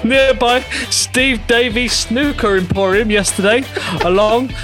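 Giggling man with a high voice. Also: a little slow, fairly bright tone, faint music behind. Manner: giggly, keeps laughing mid-sentence. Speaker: podcast host. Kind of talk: comedic group podcast, reading out a mad-libs gossip segment.

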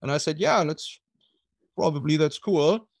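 A middle-aged man with a German accent, speaking as an interview guest. His voice sits a bit high for a man and sounds dry and close, with no room around it. He talks haltingly, with fillers and restarts. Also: gravelly, dry recording. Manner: hesitant, many fillers and restarts. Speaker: guest, water polo goalie coach. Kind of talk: casual interview.

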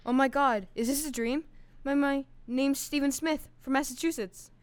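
A woman's voice: high-pitched, fairly even intonation, moderate pace, close to an everyday voice. A woman playing a naive, earnest poor man in an amateur audio drama. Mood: eager. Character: eager, naive poor man, cruise ticket winner.

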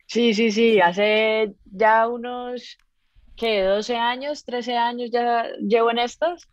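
A woman's voice: on the high side, slow, no room sound. Colombian woman musician, dull audio. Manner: relaxed and upbeat, with fillers like "pues". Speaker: musician guest. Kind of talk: casual interview.

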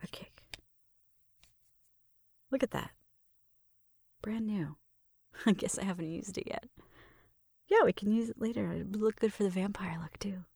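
A woman whispers softly and very slowly in an ASMR roleplay, with a fry that crackles through her breathy voice. She is gentle and unhurried, murmuring each step. The microphone is close and the sound is very dry. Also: mid-range voice, no room sound. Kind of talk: ASMR roleplay, soft personal attention.

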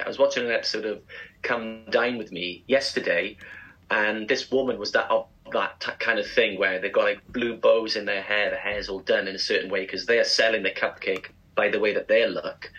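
A man co-hosts a casual breakfast radio show. His voice has a bright, forward edge with a husky grain. He talks in a chatty, enthusiastic way. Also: fairly low pitch, poor audio. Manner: chatty, enthusiastic food talk.

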